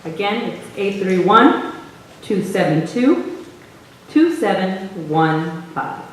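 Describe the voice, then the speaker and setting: A woman's voice: medium pitch, sing-song, slow pace. A woman hosting and narrating a staged reading with scripted stage narration. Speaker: narrator and audience host. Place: theater hall, recorded from the audience. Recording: roomy, distant stage recording with faint noise.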